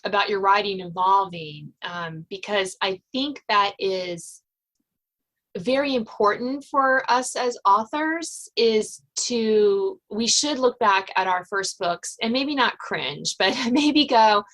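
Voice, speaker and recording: A woman's voice: mid-range voice, takes it slowly, fairly bright tone. A woman hosting a podcast interview in a chatty, conversational way, often rephrasing as she goes. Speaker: podcast host.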